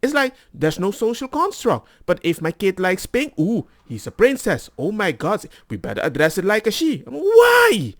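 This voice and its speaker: Animated high-pitched man reacting. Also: dry recording, studio quality. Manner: animated, exasperated, mimics opponents mockingly. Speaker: reaction video host. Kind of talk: casual off-the-cuff reaction commentary.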